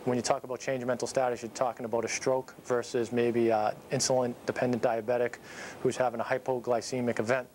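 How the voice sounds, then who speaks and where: A man in his thirties giving an informal training talk as an instructor, explaining things in a halting, matter-of-fact way. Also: mid-range voice, flat delivery, a little mellow, a little hiss or hum, low-quality recording. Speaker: paramedic instructor. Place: small dispatch office, indoors. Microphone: clip-on lapel mic.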